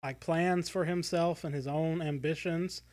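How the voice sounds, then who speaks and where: Male podcast co-host, slightly higher-pitched, casual and discursive, halting delivery. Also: fairly even intonation, a little mellow. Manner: rambling, halting, self-correcting.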